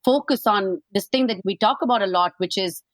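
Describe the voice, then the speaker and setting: A woman's voice: medium pitch, brisk, no echo. A woman from India with an Indian accent, hosting a podcast interview in a conversational style. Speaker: podcast host. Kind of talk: conversational interviewing with personal anecdotes.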